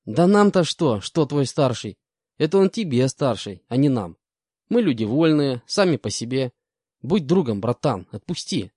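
A man's voice: mid-range voice, very animated, normal speed, a little mellow, husky, dry recording, clean studio sound. A man voicing an insolent grey-haired male convict with gold teeth, talking in brash slang. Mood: cocky. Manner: brash street slang, cocky.

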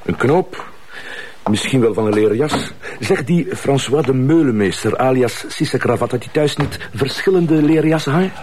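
A man's voice: mid-range voice, animated, moderate pace, a little mellow, slight background noise, close to an everyday voice. A man with a Flemish accent playing a chatty, deferential police detective in a crime drama, talking in a colloquial way. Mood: matter-of-fact. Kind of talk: acted drama dialogue.

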